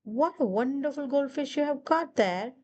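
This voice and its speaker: A woman's voice: high-pitched, lively intonation, moderate pace, darkish tone, dull audio, slight background noise, voice pushed up. A woman voicing a jolly, friendly male hiker in a children's story, with hearty, polite exclamations.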